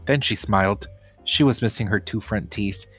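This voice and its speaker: A man's voice: lowish voice, moderate pace, fairly bright tone, slightly rough, faint music behind. A man reading a children's book chapter aloud as scripted storybook narration.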